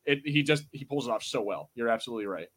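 A man's voice: mid-range voice, expressive, quick pace, fairly bright tone. A man co-hosting a podcast, talking in a casual, rambling way as he reviews a show. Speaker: podcast co-host. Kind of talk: casual film review chat.

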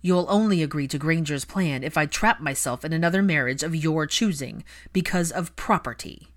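A woman voicing a bitter adult aristocratic son in an audiobook-style story, cold, angry and biting in a deliberate delivery. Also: low voice, close to an everyday voice. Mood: furious.

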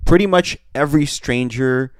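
A young man from Russia co-hosting a podcast, telling a story in a deadpan comic way that turns loud when he gets riled. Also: medium pitch, normal speed, smooth, no room sound. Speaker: podcast co-host. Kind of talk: casual comedic chat.

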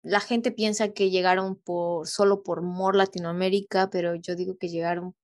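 A woman's voice: on the low side, fairly even intonation, normal speed. A Mexican woman, a guest on a remote interview, talking casually with many hesitations and little rhetorical tags.

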